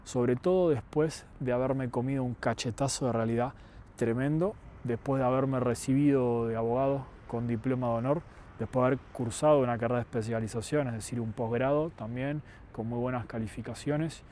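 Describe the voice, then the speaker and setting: A young man with an Argentine accent, giving a reflective personal monologue in a deliberate, earnest, articulate way. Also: medium pitch, steady tone, mellow, a little hiss or hum. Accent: Argentine Spanish. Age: young adult. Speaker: vlogger.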